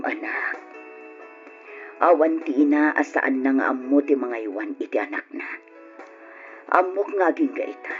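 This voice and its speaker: A woman playing a hurt, devoted middle-aged mother in an old radio drama, earnest and pleading. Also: low-pitched. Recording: dull old radio recording with music.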